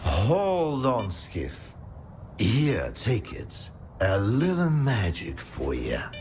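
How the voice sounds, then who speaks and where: World-weary male game wastelander, laid-back, slow, offhand, hugely varied pitch. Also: huge pitch swings, noisy background, full character voice. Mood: friendly.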